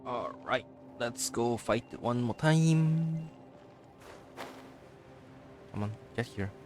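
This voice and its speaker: A man's voice: medium pitch, slow pace, a little mellow, faint music behind. A man giving casual let's-play commentary on a live stream, muttering to himself as he plays. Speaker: live-streaming gamer, host.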